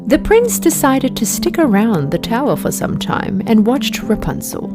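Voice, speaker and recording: Expressive woman narrating fairy tales, high lively pitch. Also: moderate pace, music in the background. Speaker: storyteller. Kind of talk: scripted children's fairy tale narration.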